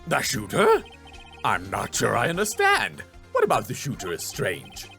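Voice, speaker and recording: A man's voice: mid-range voice, wildly swooping pitch, unhurried, gravelly, sharp tone, faint music behind, full character voice. A man voicing an old male judge who speaks in a formal, ponderous way. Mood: puzzled.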